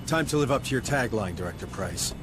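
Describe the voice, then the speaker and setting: An actor playing a hard-bitten military sergeant in a video game cutscene, talking in a gruff, confrontational, commanding way. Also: male voice, mid-range voice, expressive, quick pace, natural voice. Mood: tough, commanding. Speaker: sergeant in charge. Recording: game audio with ambient noise.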